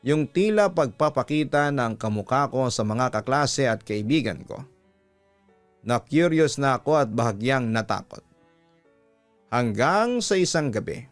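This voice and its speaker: A man's voice: mid-range voice, dry recording. Filipino man narrating stories over music. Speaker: host reading a listener's letter. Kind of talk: dramatic radio storytelling narration. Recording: music playing behind the voice. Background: background music.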